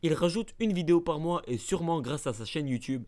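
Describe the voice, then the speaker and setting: A man's voice: on the high side, lively intonation, a little fast, a little mellow. A man narrating a scripted ranking video, adding playful mock-dramatic asides.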